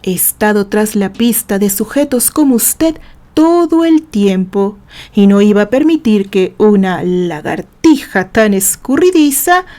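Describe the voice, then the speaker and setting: A woman reading the part of a calm, self-assured male police inspector. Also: medium pitch, unhurried, close to an everyday voice. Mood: smug.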